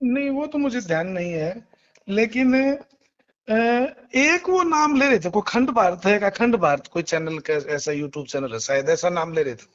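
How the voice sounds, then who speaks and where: Male lawyer, high-pitched, halting and polite explainer, lo-fi phone recording. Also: lively intonation. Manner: halting, repeats phrases, polite frequent acknowledgements. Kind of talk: phone interview, explaining a case. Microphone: phone call. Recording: muffled, lo-fi phone call recording.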